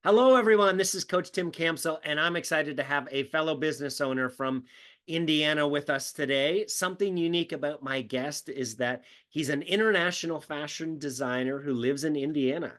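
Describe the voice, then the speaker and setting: Upbeat man hosting, slightly high voice. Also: a little fast, a bit bright. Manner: friendly, laughs often. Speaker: podcast host, business coach. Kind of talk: casual interview hosting.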